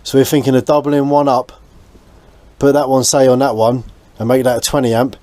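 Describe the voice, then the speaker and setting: A man's voice: fairly even intonation, fairly quick, dark tone, no echo. A British man giving casual, hands-on vlog commentary, thinking aloud informally as he works on a small boat. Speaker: host of a boat restoration vlog. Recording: noisy ambient sound, engine-like rumble.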